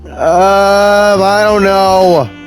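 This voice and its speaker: Slow-talking woman reacting to a game. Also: medium pitch, steady tone. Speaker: game streamer. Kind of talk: casual playthrough reactions. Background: game music.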